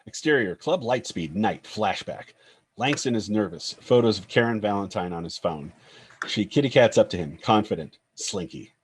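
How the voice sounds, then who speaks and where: A man's voice: lowish voice, lively intonation, normal speed, husky. A man narrating a screenplay's stage directions in a scripted table-read style.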